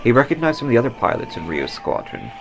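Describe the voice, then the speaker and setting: A man narrating a scripted story dramatically, with solemn, deliberate emphasis. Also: mid-range voice, very animated, noisy background. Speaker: author reading own story.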